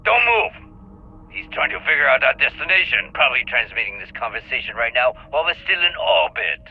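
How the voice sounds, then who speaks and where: A man playing a hard-bitten space pirate in a video game, husky and threatening as he gives orders. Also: medium pitch, big pitch swings, brisk, phone-quality sound. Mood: menacing. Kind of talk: video game dialogue acting.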